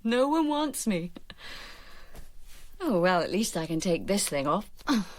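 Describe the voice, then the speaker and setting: An actress playing a weary, guarded woman with a past, speaking in a hushed, languid, teasing way in a radio drama. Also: mid-range voice, very animated, measured pace.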